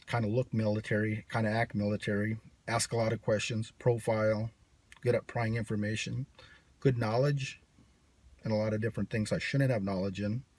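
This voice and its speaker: A man's voice: fairly low pitch, little pitch movement, fairly quick, a little mellow, no room sound. A man from the United States talking in a brash, sarcastic, rambling monologue to camera. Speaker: channel host. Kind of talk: casual vlog monologue.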